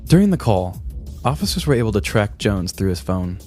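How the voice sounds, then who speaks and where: A man with a lowish voice tells a scripted story with mock drama. Soft music sits behind his podcast narration. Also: sing-song, brisk. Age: 30s. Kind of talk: scripted true-crime comedy narration. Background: music bed.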